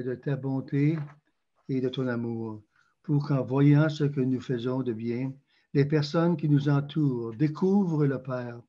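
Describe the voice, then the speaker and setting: A man's voice: mid-range voice, muffled recording. An older man with a Canadian French accent, answering interview questions hesitantly with many fillers and also leading a prayer. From Quebec, Canada. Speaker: interview guest.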